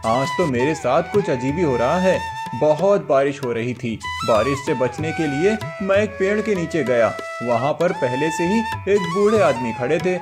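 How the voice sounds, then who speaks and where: Over a music bed, an earnest young village man, acted by a man speaks in a fairly high voice. He is humble and considerate, with lively storybook expression. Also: a little slow, hardly any pauses. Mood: amazed, earnest. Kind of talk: storybook character dialogue.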